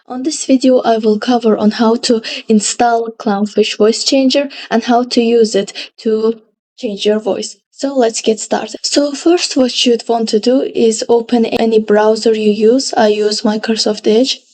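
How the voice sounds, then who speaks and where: A woman narrating a casual step-by-step software tutorial as its presenter. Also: on the high side, fairly even intonation, unhurried.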